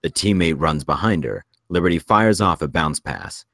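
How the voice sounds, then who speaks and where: A man's voice: low-pitched, normal speed, creaky, fairly bright tone, dry recording, clean studio sound. A man narrating stage directions from a script in a plain reading style.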